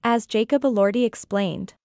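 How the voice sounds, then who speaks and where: A woman's voice: on the high side, normal speed, no echo. A woman reviewer reading her scripted narration in an earnest, opinionated way into a close mic. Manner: earnest, opinionated, even read-out delivery. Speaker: reviewer and author.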